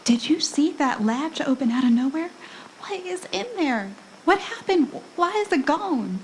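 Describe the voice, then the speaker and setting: A woman's voice: high-pitched, expressive, measured pace. A woman playing a frightened, anxious wife in an amateur audio drama, earnest and questioning. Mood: shaken. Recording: clearly noisy amateur recording.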